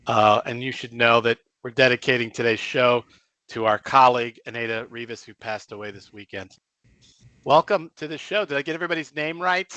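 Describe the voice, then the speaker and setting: A man hosting an informal radio interview, speaking in a halting, self-deprecating way. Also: mid-range voice, sharp tone, no echo, lo-fi sound. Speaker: radio show host.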